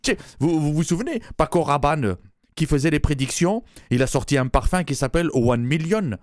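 A man's voice: fairly high pitch, animated, brisk, darkish tone, no echo, natural voice. A man voicing a brash, mannish woman from Toulouse, a former rugby player, with a southern French accent, bawdy and punning, chuckling at his own jokes in a radio comedy sketch. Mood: cheeky.

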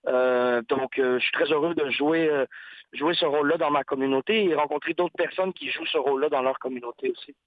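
A man's voice: fairly high pitch, brisk, no echo. A man, a guest artist, talking in a casual interview, reflective and searching for words with frequent restarts. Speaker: guest artist, rapper and painter. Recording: tinny phone-like line.

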